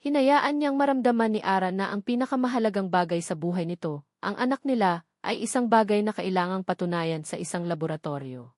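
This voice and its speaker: A woman with a Filipino accent tells a scripted story in a dramatic style. Her pitch sits in the middle for a woman, and the sound is dry, with little room to it. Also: a little fast, a little mellow, dry recording. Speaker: audiobook narrator.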